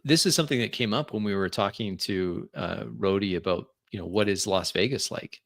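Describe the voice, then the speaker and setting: Low-voiced, agreeable man in casual chat. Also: fairly quick, vocal fry, dry recording, clean studio sound. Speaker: tabletop roleplay player. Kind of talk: casual post-game chat.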